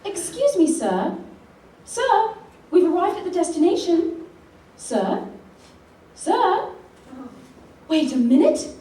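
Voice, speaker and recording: A woman's voice: extremely high pitch, very animated, takes it slowly, long pauses, dark tone, full character voice. A woman playing a polite, dim-witted, perky flight attendant in a comic radio play on a live stage. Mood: polite, insistent. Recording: roomy live hall, distant, noisy.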